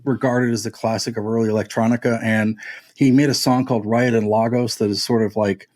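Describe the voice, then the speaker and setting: Man, medium pitch, casual podcast panelist, enthusiastic, discursive, music chat. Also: flat delivery, brisk, a little mellow.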